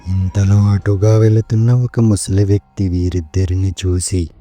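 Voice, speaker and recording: A man's voice: low-pitched, little pitch movement, normal speed, warm tone, lo-fi sound. A man narrating a scripted horror story as its storyteller. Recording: music behind the voice.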